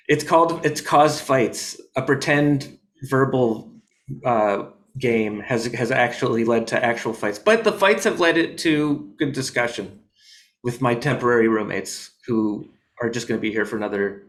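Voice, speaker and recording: A man as a comedian guest in a casual video-call interview, rambling with deadpan jokes and many fillers. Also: medium pitch, measured pace. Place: his home in New York.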